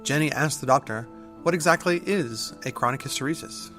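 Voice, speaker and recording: A man's voice: on the high side, fairly quick, a bit bright, faint music behind. A man reading scripted narration as the narrator of an audio drama.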